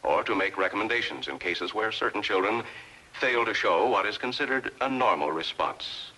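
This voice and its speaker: A man with a low, forceful American voice narrates in a formal 1950s instructional style. He speaks in measured, carefully enunciated sentences, with the sound of a vintage film soundtrack. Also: expressive, poor audio.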